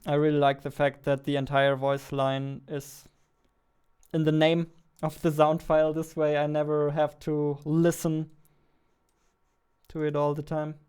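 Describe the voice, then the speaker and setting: A man in his twenties, a game developer, casually thinking aloud in a halting mutter as he works at his desk with a headset on. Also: fairly high pitch, completely flat delivery, darkish tone, clear, resonant tone. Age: 20s. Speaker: game developer, host of a devlog. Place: indoors at a desk.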